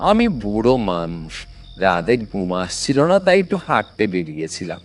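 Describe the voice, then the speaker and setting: A man playing a pious, shrewd, kindly old man in a dramatic cartoon story, speaking in a deliberate, theatrical way. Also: low-pitched, huge pitch swings, faint music behind. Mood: mild, placating. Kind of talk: dramatic animated moral story.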